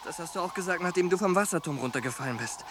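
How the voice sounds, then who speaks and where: Brash, blunt teenage pal, highish lively voice that jumps around, worried but down-to-earth, radio drama. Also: male voice, racing, noisy background. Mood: worried, teasing. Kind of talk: German radio drama acting.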